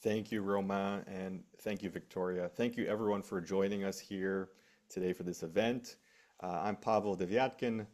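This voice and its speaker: Deep-voiced American man, formal. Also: fairly even intonation, normal speed, darkish tone. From United States. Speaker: panelist, researcher and lecturer. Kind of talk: webinar panel introduction.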